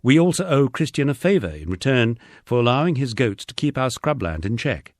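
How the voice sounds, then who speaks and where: A man's voice: mid-range voice, very animated, a little fast, no echo, clean studio sound. A man reading a memoir as a scripted audiobook narration.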